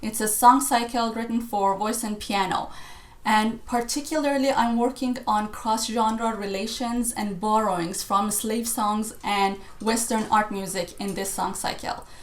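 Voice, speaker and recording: A woman's voice: medium pitch, fairly even intonation, measured pace. Iranian-born woman, roomy video call. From Iran. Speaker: choir director and guest. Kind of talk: conversational interview.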